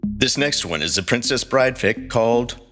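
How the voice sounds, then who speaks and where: A man's voice: mid-range voice, lively intonation, normal speed, a bit bright. A man co-hosting a podcast with scripted banter and announcements. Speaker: podcast co-host. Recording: plucked guitar music behind.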